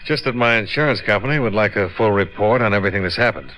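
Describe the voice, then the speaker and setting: An actor playing a brisk, hard-boiled American insurance investigator in 1950s radio drama, clipped and matter-of-fact. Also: male voice, on the low side, a bit bright, slight background noise, natural voice. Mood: cool, cooperative. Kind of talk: 1950s radio detective drama acting and first-person narration. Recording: vintage radio recording with music.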